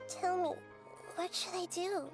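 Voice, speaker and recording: A woman's voice: extremely high pitch, level tone, a little slow. An actress playing a small, caring, childlike girl in a video game, speaking with careful earnestness. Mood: thoughtful, troubled. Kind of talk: English video game voice acting. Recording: music clearly behind the voice. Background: background music.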